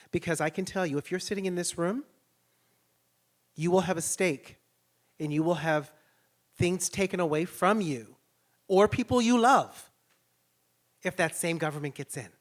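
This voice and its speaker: A man's voice: a little high, fairly bright tone. A man speaking as a panelist at a public event, emphatic and impassioned. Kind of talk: panel discussion.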